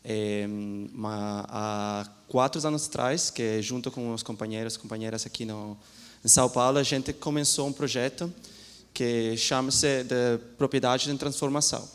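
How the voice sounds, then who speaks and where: Halting male lecturer in a reverberant hall. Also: mid-range voice, clean tone. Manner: halting, many restarts, mixing languages. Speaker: law professor. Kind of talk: seminar talk.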